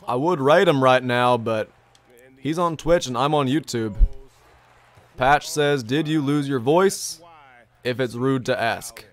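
A man's voice: medium pitch, slow pace, pauses often. A young man live-streaming a basketball video game, talking upbeat and repeating himself as he reads his chat, his voice a little strained from long streaming. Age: young adult. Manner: upbeat, chatty, repeats his own phrases. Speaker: gaming streamer. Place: bedroom gaming setup, indoors.

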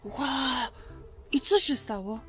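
A woman's voice: high voice, slow, faint music behind. A woman voicing a curious, easily worried boy puppet firefighter who asks short, eager questions in a children's show.

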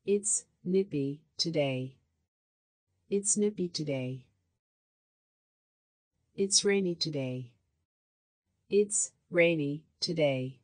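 A woman with a slightly low voice reads short practice phrases at a very slow, deliberate pace, with each word clearly enunciated. The sound is dry and close, with no room echo. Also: sharp tone. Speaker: language lesson voice. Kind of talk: repeated phrase drills for learners.

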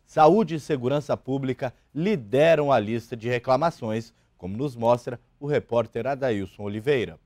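Steady male news anchor. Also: medium pitch, moderate pace, hardly any pauses, no echo, studio quality. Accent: Brazilian Portuguese. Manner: formal, steady anchor reading. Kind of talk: news intro.

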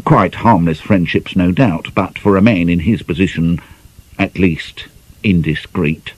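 A man voicing a suave, insinuating gentleman in an audiobook, pausing pointedly as he slyly fishes for gossip. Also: low voice, breathy edge, lo-fi sound. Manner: suave, hesitant, deliberately pointed.

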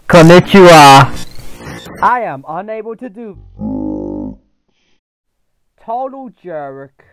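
An actor voicing a childlike talking toy fox doll, robotically polite, with halting, stilted phrasing, as comedy character lines. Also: mid-range voice, no room sound. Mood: loud, excited.

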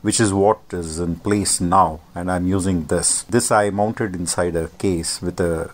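An older man giving an informal technical demonstration as a radio hobbyist, speaking in a measured, matter-of-fact way. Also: low voice, steady tone. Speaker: amateur radio hobbyist presenting. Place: indoor home radio workshop. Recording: clearly noisy home workshop recording.

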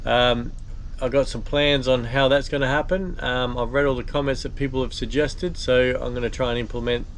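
Vlog host: man, 30s, laid-back and rambling with fillers, mid-pitched, faint background hiss. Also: normal speed. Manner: relaxed and chatty, lots of ums and uhs. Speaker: boat restorer and channel host. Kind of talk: casual vlog narration. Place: inside a steel boat hull, then an outdoor patio.

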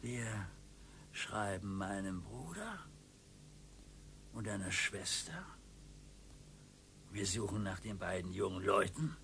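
An older actor playing a grumbling retired husband with a swollen toe in a radio play, moving from gripes to dreamy plans. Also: male voice, lowish voice, animated, slow, vocal fry, long pauses, fairly bright tone, slight background noise, full character voice. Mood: wistful planning. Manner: grumbling, then dreamily planning. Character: grumpy retired husband nursing a sore toe.

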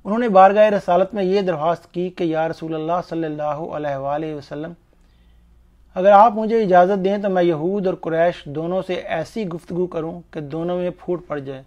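Male narrator for religious history, slightly high-pitched with a soft, mellow tone, formal and earnest delivery. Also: normal speed. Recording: dull, muffled lo-fi voice-over.

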